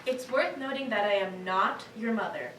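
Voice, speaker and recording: A woman playing a brisk, practical theme-park staffer in a staged reading of a cartoon. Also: mid-range voice, lightly acted. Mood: dry. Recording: echoey room, distant mic, clearly noisy.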